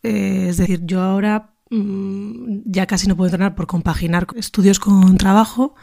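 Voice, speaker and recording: A woman's voice: mid-range voice, fairly even intonation, moderate pace, gravelly, warm tone. A woman in her early thirties with a northern Spanish accent, sharing an informal personal reflection in a hesitant, thoughtful way. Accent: northern Spain. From Basque Country, Spain. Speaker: contributor to a feminist radio show.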